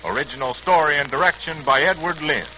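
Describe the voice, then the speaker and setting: A man's voice: fairly high pitch, quick pace, sharp tone. A man playing a solemn announcer and narrator of a 1940s radio serial, formal and dramatic. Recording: crackly 1940s radio recording.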